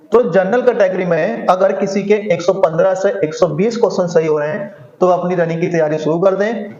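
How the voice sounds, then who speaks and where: A man's voice: sits high, a little mellow. A man teaching in an informal explainer style, addressing students and repeating himself with rhetorical questions. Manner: repetitive, punctuates with rhetorical questions. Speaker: exam coaching teacher. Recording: muffled audio in echoey room.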